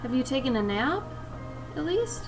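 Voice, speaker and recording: A young woman with a raspy voice, chatting in a chill, laid-back way with viewers while drawing on a livestream, sniffing now and then. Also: sits high, moderate pace, far from the mic, over music. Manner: chill, relaxed, sniffing often. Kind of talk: casual chat with stream viewers while drawing. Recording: steady background noise.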